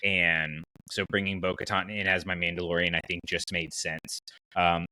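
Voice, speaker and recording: Man, podcast host, lowish pitch, crisp bright tone, casual discussion, conversational asides, dry sound. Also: flat delivery, moderate pace, no echo, poor audio.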